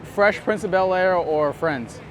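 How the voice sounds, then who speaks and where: A man's voice: high-pitched, a little slow, a bit bright. A man hosting a casual street interview outdoors, asking questions in an informal, bantering way. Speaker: interviewer, show host. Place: outdoors on a busy city street. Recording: noisy outdoor city street with traffic.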